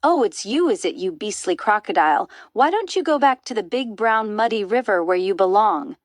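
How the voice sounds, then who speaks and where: A woman's voice: medium pitch, animated, normal speed, no room sound, clean studio sound, voice pushed up, lightly acted. A woman voicing a big, stern elephant in a storybook, scolding with emphatic indignation.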